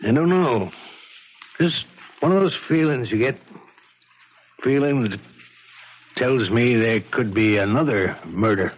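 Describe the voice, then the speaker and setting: An actor playing an earnest, plain-spoken police lieutenant with a husky voice and halting, informal cop talk, in a 1970s radio mystery. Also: male voice, medium pitch, background noise, lightly acted. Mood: earnest, uneasy. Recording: dull 1970s radio recording.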